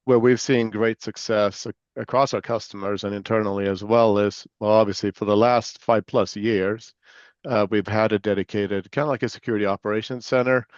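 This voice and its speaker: A man from Sweden with a Swedish accent blended with American Southern tones, answering interview questions in a relaxed, filler-filled way. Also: on the low side, fairly quick, clear, resonant tone, dry recording, dull audio. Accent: Swedish mixed with American Southern. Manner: relaxed, many fillers and restarts. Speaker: guest, security executive.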